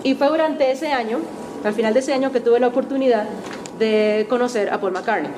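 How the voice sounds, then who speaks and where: Chatty Venezuelan woman, higher voice. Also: brisk, noisy background. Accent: Venezuelan Spanish. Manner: chatty, self-deprecating, with light laughs. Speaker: guest speaker. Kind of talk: lecture talk to a class. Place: university auditorium.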